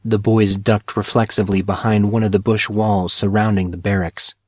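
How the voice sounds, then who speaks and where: A man narrating a fantasy novel in a measured, even storytelling manner. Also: fairly low pitch, no room sound, studio quality. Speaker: audiobook narrator.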